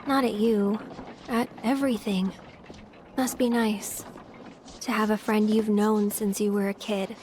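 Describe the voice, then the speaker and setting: A woman's voice: medium pitch, level tone, unhurried, pauses often, a little mellow, slight background noise, close to an everyday voice. An actress playing a candid, sensitive young woman in a video game, speaking conversationally. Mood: hurt, candid. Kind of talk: video game character acting.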